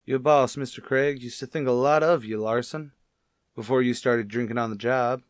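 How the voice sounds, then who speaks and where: A man playing a steady, low-key police detective in a radio drama, understated and casually probing. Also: moderate pace, dry recording, clean studio sound, close to an everyday voice. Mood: quietly pressing. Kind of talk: old-time radio police drama acting.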